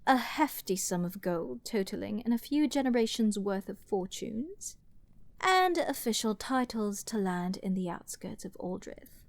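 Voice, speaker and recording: A woman playing an arrogant, flirty and menacing princess in a dramatic fantasy ASMR roleplay, teasing and theatrical, with drawn-out words and dips toward a whisper. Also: medium pitch, lively intonation, creaky, no echo. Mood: haughty, businesslike. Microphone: close studio mic, dry.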